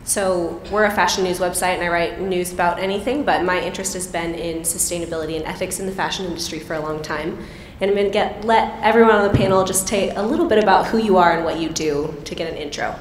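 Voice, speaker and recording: A woman moderating a panel, speaking casually with a voice hoarse from being sick. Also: on the low side, steady tone, fast, slight background noise. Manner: casual, friendly. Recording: echoey hall with long reverb.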